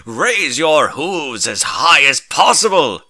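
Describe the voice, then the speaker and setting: Man acting as heroic male pony, raised forceful voice, grandiose, theatrical, comedic storybook savior. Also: very animated, heavily acted. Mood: gallant, booming. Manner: booming, declamatory, drawn-out delivery. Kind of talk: comedic fan-fiction story reading.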